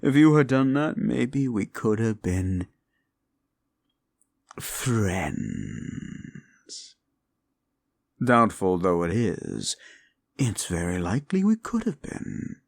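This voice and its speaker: A man's voice: no echo, exaggerated voice. A man voicing a scornful male demon in an audio roleplay, taunting and mocking the listener with a profane tongue. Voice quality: deep and gravelly.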